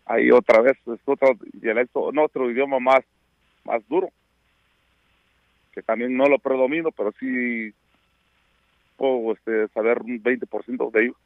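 A middle-aged man from Oaxaca whose first language is Mixtec, speaking Mexican-accented Spanish with a gravelly edge. He calls in over a thin, tinny phone line with a dry sound. He reminisces slowly and fills his pauses often. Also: medium pitch, no echo. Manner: reminiscing, hesitant with many fillers. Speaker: phone-in guest. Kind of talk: radio interview by phone.

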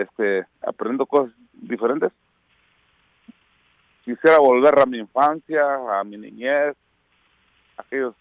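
A man's voice: mid-range voice, no room sound. A middle-aged man from Oaxaca, Mexico, whose first language is Mixtec, speaking Spanish with a Mexican accent. He talks as a guest on a radio interview by phone, reminiscing and hesitating with many fillers. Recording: tinny dry phone line.

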